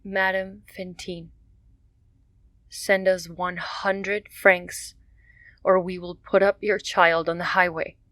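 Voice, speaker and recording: An actress playing a poor, dying young mother in a radio drama, speaking in a slow, strained and pleading way. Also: fairly low pitch, flat delivery, fairly bright tone, dry recording. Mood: desperate.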